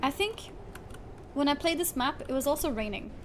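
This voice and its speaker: A woman's voice: high voice, expressive, normal speed. A young adult woman chatting casually while streaming a game. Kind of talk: casual gaming chat. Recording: clear background noise, keyboard typing.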